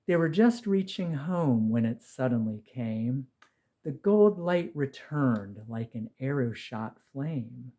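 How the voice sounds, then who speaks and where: A man reading a rhymed story poem aloud as an expressive, measured storyteller. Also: mid-range voice. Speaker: poetry reader, narrator.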